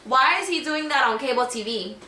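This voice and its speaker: A woman's voice: high-pitched, lively intonation, brisk, distant mic, background noise. A woman co-hosting a podcast in casual chat, rambling with lots of fillers and trailing phrases. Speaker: podcast co-host. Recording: echoey room, off-mic.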